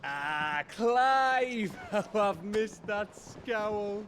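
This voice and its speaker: A man with a British accent playing a cheeky, rough-mouthed scout and loyal pal in a fantasy game, chummy and irreverent. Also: high-pitched, expressive, very slow, fairly bright tone, slight background noise, exaggerated voice. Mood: teasing. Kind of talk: dramatic fantasy game acting.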